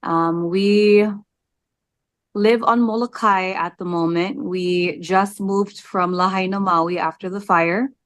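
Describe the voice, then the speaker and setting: A woman, answering interview questions candidly, with frequent "um" pauses. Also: mid-range voice, fairly even intonation, no echo, studio quality. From Hawaii. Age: 40s. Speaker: interview guest.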